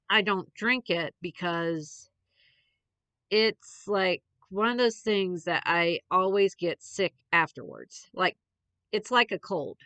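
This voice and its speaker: A woman's voice: fairly low pitch, sharp tone, dry recording. A young adult woman, a little congested, chatting in a rambling, meandering way with many fillers as a podcast host. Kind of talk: rambling casual chat.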